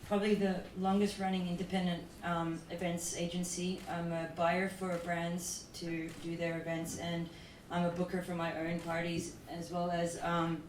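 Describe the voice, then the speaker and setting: A woman from Dubai talks on a conference panel in a loose, chatty way, full of fillers and "like." Her voice sits low and barely moves in pitch. She is heard from a distance in an echoey hall with faint noise behind her. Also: flat delivery, normal speed, few pauses. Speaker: panel speaker, events promoter.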